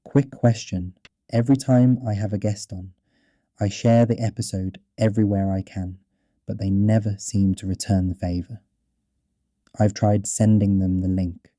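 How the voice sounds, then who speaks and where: A man's voice: lowish voice, dark tone, no echo, clean studio sound. A man leaving a polite, neatly worded voicemail question as a listener to a podcast.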